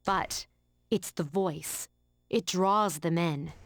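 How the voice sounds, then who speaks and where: Commanding older schoolgirl for a game; lowish, dry voice, formal and exacting. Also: no room sound. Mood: resolute. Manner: formal, authoritative, measured. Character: stern, commanding female high school senior, student council leader.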